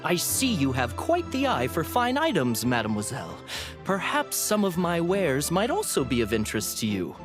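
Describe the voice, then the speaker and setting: A man voicing a courteous, polished toy merchant giving a courtly sales pitch. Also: huge pitch swings, moderate pace. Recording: game audio with music.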